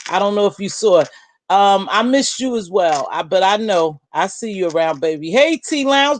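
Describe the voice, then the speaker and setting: A middle-aged woman with a deep voice, hosting a casual livestream from home and chatting bluntly with viewers, calling them baby and girl. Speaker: livestream host. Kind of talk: casual live chat, answering viewer comments.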